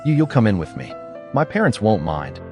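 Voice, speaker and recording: A man's voice: lowish voice, sing-song, music in the background. A man playing a worried, protective rich bad boy in his teens in a roleplay drama, earnest and firm. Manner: earnest, halting then firm. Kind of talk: dramatic roleplay story acting.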